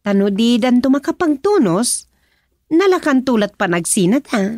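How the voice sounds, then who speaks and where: A woman's voice: on the high side, sing-song, normal speed, darkish tone, dry recording, clean studio sound. A woman playing an opinionated, chatty village woman who lectures insistently, in a rural radio comedy-drama. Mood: insistent, moralizing. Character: opinionated village woman gossiping and moralizing about marriage.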